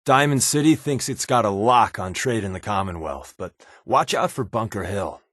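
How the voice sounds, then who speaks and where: An actor playing a sardonic, joking spy companion in a wasteland video game, with a dry, deadpan delivery. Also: male voice, lowish voice, moderate pace, no echo. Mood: musing.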